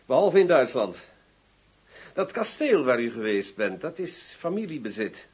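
An actor playing a cynical, smoothly menacing ex-general turned arms dealer in a radio drama, husky and formal in his delivery. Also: medium pitch, sing-song, a little slow, darkish tone. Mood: disdainful.

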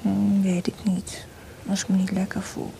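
An actress playing a composer's affectionate, teasing, quietly exasperated young wife in an intimate radio play. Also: female voice, mid-range voice, monotone, normal speed, vocal fry, slight background noise, natural voice, rough recording. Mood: wary, exasperated. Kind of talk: Dutch radio play acting.

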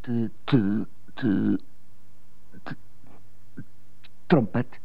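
Radio drama casting: brooding jailed musician, stutterer, anxious, low-voiced, dry and close on a muffled recording. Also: male voice, extremely slow, vocal fry, no room sound, full character voice. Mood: halting. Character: anxious jailed jazz trumpeter who stutters, brooding inwardly.

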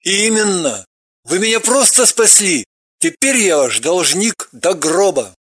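A man's voice: sits high, sing-song, measured pace, fairly bright tone, no echo, studio quality, exaggerated voice. A man voicing a cold, wealthy businessman who speaks in clipped, contemptuous phrases. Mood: grateful.

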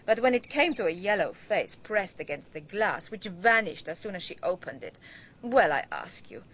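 An actress playing a gossipy, spiteful archaeologist's wife in a radio drama, insinuating and emphatic. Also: female voice, medium pitch, sing-song, moderate pace, fairly bright tone. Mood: spiteful gossip.

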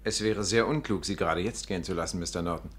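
An actor playing a courteous but controlling doctor, formal and condescending, in a 1950s radio play. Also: male voice, low-pitched, quick pace, slightly rough. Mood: placating. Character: smooth-mannered, controlling younger male asylum doctor.

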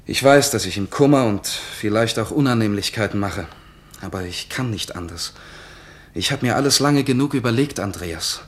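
An actor playing a determined young regimental doctor in a radio play, speaking earnestly and resolutely. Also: male voice, on the low side, expressive, moderate pace, close to an everyday voice.

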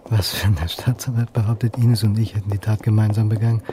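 An actor playing a brooding middle-aged historian in custody in a crime radio play, husky and introspective, murmuring to himself. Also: male voice, on the low side, level tone, fast talker, noisy background, close to an everyday voice. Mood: anxious, brooding. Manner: introspective, murmured monologue dropping to a whisper.

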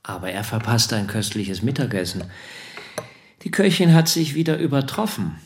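Guy acting bossy well-off dad in a radio play, middle pitch, patronising, slight background noise. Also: lively intonation, moderate pace, lightly acted. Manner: imperious, patronising. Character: domineering, self-satisfied middle-aged bourgeois father. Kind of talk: German radio drama dialogue.

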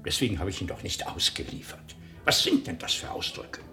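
A man's voice: sits low, wildly swooping pitch, fairly quick, bright, forceful tone, faint music behind, heavily acted. An actor playing a defensive, evasive businessman husband in a German radio drama, indignant and dismissive.

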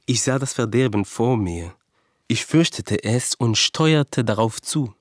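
A man's voice: medium pitch, animated, a little slow, dry recording. A man playing a frightened, self-doubting cancer survivor, speaking an earnest, introspective confessional monologue in a radio play. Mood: haunted recounting. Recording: dry studio with faint music.

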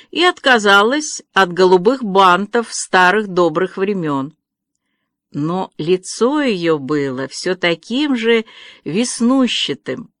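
Female audiobook narrator, lowish voice, dry close studio sound. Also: fairly bright tone, dry recording. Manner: slow, measured storytelling. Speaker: audiobook reader.

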